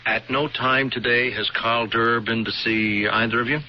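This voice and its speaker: An actor playing a formal, businesslike police investigator in a measured, official manner, in a 1970s radio mystery. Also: male voice, mid-range voice, steady tone, close to an everyday voice. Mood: grave, official. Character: formal, businesslike male police investigator breaking grim news. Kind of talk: 1970s radio drama acting. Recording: dull lo-fi old radio recording, faint noise.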